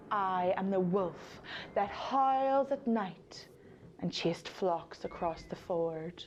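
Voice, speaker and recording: A woman reciting a dramatic poem in the first person as an ancient, fierce female war goddess, slow and deliberate, sinking into breathy whispers and rising in sudden swells. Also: fairly low pitch, a little mellow, dull audio, a little hiss or hum.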